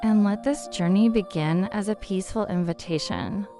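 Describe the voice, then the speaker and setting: Female sleep-story narrator, medium pitch, calm meditative delivery, gentle pacing of phrases, set over music. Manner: calm, soothing, unhurried. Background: background music.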